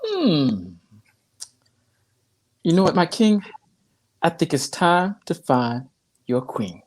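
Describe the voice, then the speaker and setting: A woman's voice: deep voice, big pitch swings, slow pace, darkish tone, heavily acted. A woman playing a loyal, frank male sidekick to a young king in a comedy table read. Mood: earnest, weary.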